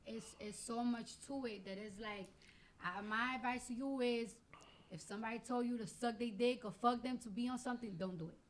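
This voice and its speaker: Guest: high-voiced woman, New York accent, Spanish first language, blunt and slangy, restarts often. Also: a little fast. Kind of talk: casual chat.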